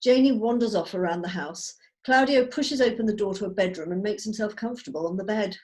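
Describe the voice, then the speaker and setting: A woman's voice: mid-range voice, fairly quick, a little mellow. A woman reading scripted stage directions as narrator over a video call.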